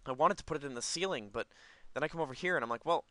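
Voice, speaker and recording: A man's voice: a little high, very fast, sharp tone, dry recording. A man giving casual let's play commentary, rambling and thinking aloud as host of a gaming video.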